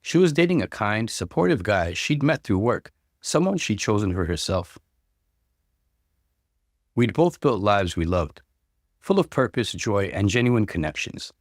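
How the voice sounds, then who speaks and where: A man hosting a story channel, delivering scripted narration and conversational commentary with expressive emphasis. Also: on the low side, no echo, clean studio sound. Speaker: host reading and commenting on online stories.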